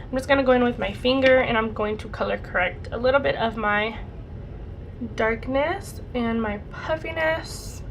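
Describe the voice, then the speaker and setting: A young woman vlogger chatting casually through a makeup routine, her voice a bit raspy and her nose congested from a cold. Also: on the high side, normal speed, slight background noise. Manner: chatty, rambling, lots of "like" and "super". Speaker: beauty vlogger. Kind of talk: casual makeup tutorial chat.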